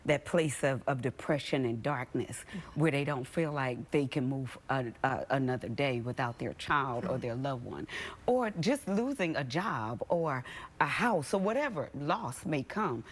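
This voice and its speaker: Low-voiced woman, earnest interview guest. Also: expressive, unhurried. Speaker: interview guest, grief counselor and chaplain. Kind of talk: conversational TV interview.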